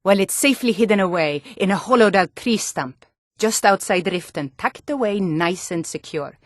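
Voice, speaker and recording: A woman's voice: medium pitch, lively intonation, moderate pace, dry recording, studio quality, natural voice. An actress voicing a hardy, proud Nordic townswoman in a video game, with a Nordic accent and a blunt, theatrical delivery. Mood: haughty. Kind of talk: video game character voice acting.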